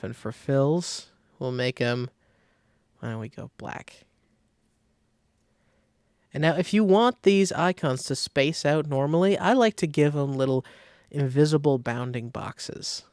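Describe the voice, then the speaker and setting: A man giving a casual step-by-step software tutorial, thinking aloud informally as he demonstrates. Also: mid-range voice, no room sound. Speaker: design tutorial instructor.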